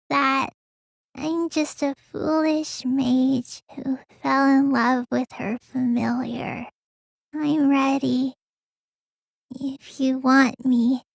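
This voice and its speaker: Casting: teenage girl mage in a video game; childlike, petulant, proud, slow listless drawl, bright dry sound. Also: high-pitched, vocal fry, no room sound, exaggerated voice. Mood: shy. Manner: childlike, listless drawl with sudden excitable bursts. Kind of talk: English video game voice acting.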